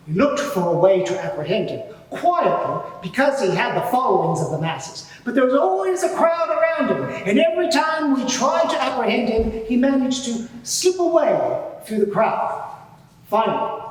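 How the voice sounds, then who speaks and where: A man playing an indignant, self-righteous high priest giving pompous, deliberate testimony in a dramatised courtroom inquiry. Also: sing-song. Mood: measured, disdainful. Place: large courtroom before a live audience. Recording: very echoey hall, distant mic.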